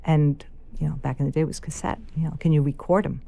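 A woman's voice: low voice, fast, gravelly. A woman with a New York accent, a filmmaker telling stories in a casual interview. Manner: animated storyteller, quoting others aloud. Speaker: filmmaker guest.

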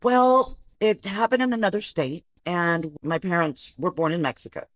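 A woman from the Rio Grande Valley in Texas, a social worker guest telling her story with a lively manner and easy laughs. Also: fairly low pitch, normal speed, dry recording. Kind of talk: podcast interview.

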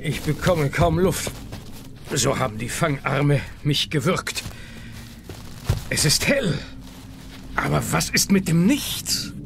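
An actor playing a grave, learned scientist in a theatrical, explanatory radio play manner. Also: male voice, fairly high pitch, expressive, slow, creaky, long pauses, exaggerated voice. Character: grave, learned male scientist professor facing danger. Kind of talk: German children's sci-fi radio play acting. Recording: aircraft and action noise behind the voice.

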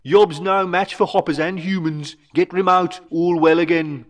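Man acting wise old alien chief, storybook creature, Brummy accent, high pitch, slow broken English. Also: fairly even intonation, recorded from a distance, lightly acted. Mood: reassuring. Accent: Brummy (Birmingham). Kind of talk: storybook character voice.

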